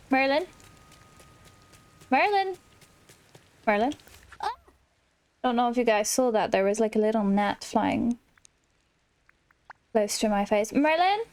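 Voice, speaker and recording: Female let's play host: higher-pitched, unhurried, casual and chatty, reacting aloud. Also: animated. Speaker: gaming video host.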